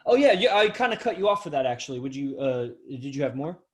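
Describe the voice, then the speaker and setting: A man's voice: mid-range voice, sing-song, quick pace. A man hosting a podcast interview, talking in an effusive, admiring and rambling way. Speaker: podcast host. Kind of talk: casual interview talk.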